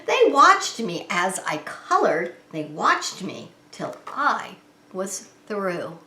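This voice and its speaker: A woman's voice: medium pitch, wildly swooping pitch, slow pace, pushed and bright. A woman hosting story time as a preschool teacher, reading a picture book aloud and explaining it simply and expressively to young children. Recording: roomy, echoing indoor sound.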